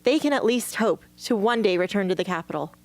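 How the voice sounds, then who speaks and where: A woman's voice: mid-range voice, lively intonation, a little fast, a little mellow, close to an everyday voice. A woman playing a defiant, guarded young rebel hunter in an amateur radio drama, speaking in a blunt, challenging way. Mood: defiant, warning. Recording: faint hum and background noise.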